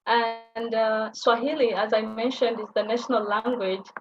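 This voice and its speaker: A woman's voice: on the high side, steady tone. Kenyan woman, young adult, video call, slight hiss, casual explainer. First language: Somali. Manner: unhurried, explaining with many fillers. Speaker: guest language teacher. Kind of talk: presenting on a livestream call. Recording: muffled video call audio with light noise.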